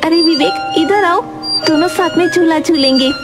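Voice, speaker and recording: Woman as high-pitched anxious little girl. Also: normal speed. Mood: eager calling. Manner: anxious, pleading. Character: frightened, innocent young girl, high-voiced. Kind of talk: Hindi horror cartoon voice acting. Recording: loud music under the voice.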